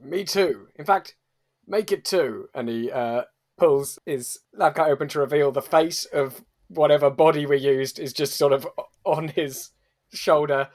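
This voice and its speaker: Fairly high-voiced man. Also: a little slow. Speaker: player in a roleplay podcast. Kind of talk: improvised comedy roleplay, narrating actions.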